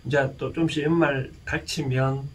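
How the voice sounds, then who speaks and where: A man with a Gyeongsang Korean accent giving an informal explanatory lecture, speaking haltingly and often putting rhetorical questions to his listeners. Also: medium pitch, fairly even intonation. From Gyeongsang region, South Korea. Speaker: lecturer and counsellor. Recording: distant mic with faint background noise.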